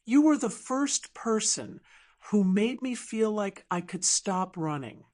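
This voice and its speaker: A woman voicing an earnest, tender man, a gruff contractor in love, in an audiobook drama. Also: mid-range voice, lively intonation, slow pace, a little mellow. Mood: earnest, searching.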